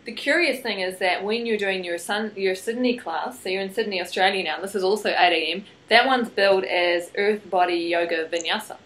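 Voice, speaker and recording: A woman's voice: medium pitch, brisk, few pauses, a bit bright, low-quality recording. A woman with a New Zealand accent hosting a podcast interview, friendly and encouraging. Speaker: podcast host. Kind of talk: interview questions.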